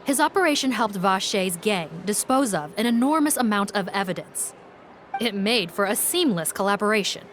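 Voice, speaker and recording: A woman's voice: medium pitch, expressive, normal speed, fairly bright tone, slight background noise, lightly acted. A woman playing an adult police officer in a video game, speaking in a composed, formal, matter-of-fact and resolute manner. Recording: music playing behind the voice.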